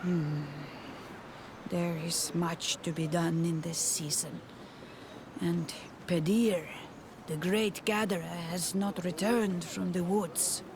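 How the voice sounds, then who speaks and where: A woman's voice: lowish voice, steady tone, slow pace, background noise. A woman playing a wary, brusque villager of a fantasy island clan in a video game. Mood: guarded.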